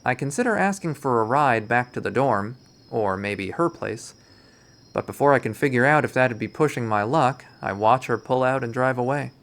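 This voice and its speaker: A man's voice: mid-range voice, animated, moderate pace, fairly bright tone. Man reading a visual novel aloud. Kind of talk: dramatic first-person story readthrough.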